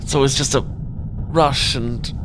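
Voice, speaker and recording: A man voicing a bookish British man who trips over his own words, repeating himself and rambling whenever he gets nervous. His voice sits at an ordinary pitch for a man, in an intimate audio romance with a faint hiss behind it. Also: steady tone, takes it slowly, lightly acted. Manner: stammering, rambling, flustered. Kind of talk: ASMR romance roleplay.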